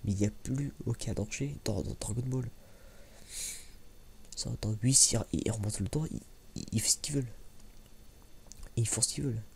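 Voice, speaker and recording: Male ASMR creator, soft-spoken, creaky voice, halting casual delivery, noisy home-style recording. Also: mid-range voice. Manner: halting and rambling, repeats words, slangy.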